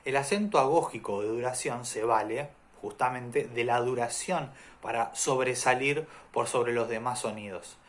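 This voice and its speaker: A man at a middle pitch teaching a music theory lesson. He explains in a calm, methodical way, laying out one example after another. His delivery is steady and patient, like a teacher working through a lesson plan. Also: normal speed, few pauses, a little hiss or hum.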